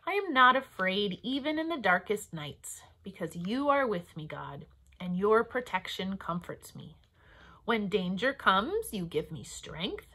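Female children's storyteller, forties, pastor type. Unhurried delivery, lively swooping intonation, simple phrasing. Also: mid-range voice. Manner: animated, simple phrasing with deliberate pauses for children. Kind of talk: storytelling and talking to young children. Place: small room at home or office, indoors.

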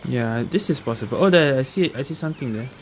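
Very low-voiced woman gamer. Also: fast talker, noisy background. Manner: thinking aloud, repeating herself. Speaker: gaming streamer. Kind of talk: casual gameplay commentary. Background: game music.

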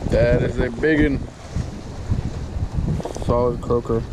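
Male fishing vlogger, mid-pitched, offhand self-talk, outdoor action-cam sound with heavy wind noise. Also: fairly even intonation, slow pace. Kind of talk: casual chat narrating own fishing. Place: outdoors on a windy wooden fishing pier over water. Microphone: action camera worn on the body. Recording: loud wind and water noise on action camera.

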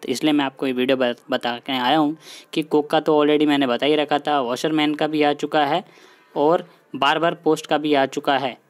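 Higher-pitched man explaining updates. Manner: repetitive, mixes English words into his talk. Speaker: host of an exam news channel. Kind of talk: informal explainer, addressing viewers directly.

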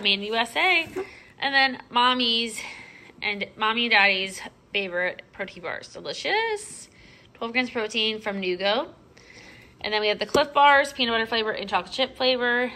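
Bright-voiced young American woman vlogger. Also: mid-range voice. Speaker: vlogger and mother showing a grocery haul. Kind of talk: casual chatty haul narration. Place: home kitchen, indoors. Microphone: handheld phone behind the camera.

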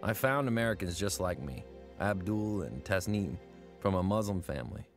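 A man's voice: deep voice, expressive, moderate pace. A man in his twenties narrating his own story reflectively in a radio drama. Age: 20s. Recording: narration over music.

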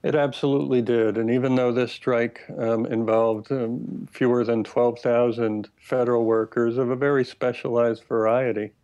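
An American man in his fifties giving measured, deliberate explanations as an expert interview guest over a video call headset. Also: mid-range voice, flat delivery, a little mellow, dry recording. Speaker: expert guest, labor history professor. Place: plain room indoors. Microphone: earbud headset on video call. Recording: muffled, lo-fi video call audio.

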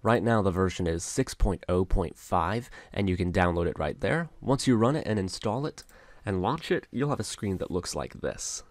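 A man hosting a casual software tutorial, talking through each step in an informal, instructional way. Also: low voice, lively intonation, moderate pace, dry recording. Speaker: tutorial host. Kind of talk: casual software screen-share tutorial narration. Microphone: close mic, voice-over on a screen recording.

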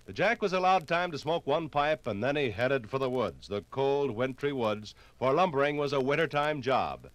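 Casting: man, medium-pitched 1950s radio narrator, scripted, measured, dramatic storyteller. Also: animated, no echo.

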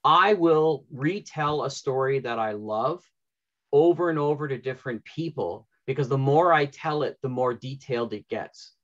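A middle-aged man with an average-pitched voice leads a casual panel chat. The audio is a bit dull and lo-fi, like a video call. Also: normal speed, fairly bright tone. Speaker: panel moderator, writer. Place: room at home, indoors, on a video call.

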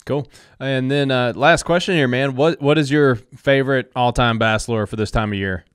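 A young man hosting an interview from a home studio on a headset and mic, relaxed and friendly. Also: mid-range voice, moderate pace, clear, resonant tone, no room sound. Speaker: podcast host.

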